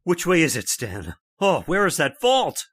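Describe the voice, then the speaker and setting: An actor playing a skeptical, headstrong father who talks bluntly and forcefully in an old-time radio horror play. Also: on the high side, huge pitch swings, measured pace, heavily acted. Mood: desperate.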